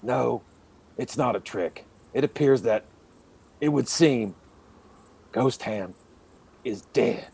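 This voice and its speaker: A man's voice: medium pitch, slow pace, creaky, pauses often, mellow, breathy edge, pitched below the performer's own voice, exaggerated voice. A man playing a pompous, melodramatic hero with a lightsaber in a comic radio play, declaiming in mock-heroic style. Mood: solemn.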